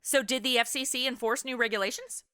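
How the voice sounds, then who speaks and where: A woman's voice: high-pitched, fairly even intonation, fast talker, bright, forceful tone, smooth. A woman hosting a podcast, putting scripted but conversational questions to a guest in an inquisitive way. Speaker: podcast host interviewing a guest.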